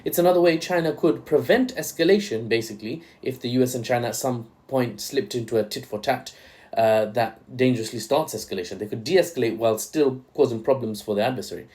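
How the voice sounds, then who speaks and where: A man's voice: medium pitch, brisk, a little mellow. Joking young man commenting on news. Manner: joking, irreverent asides.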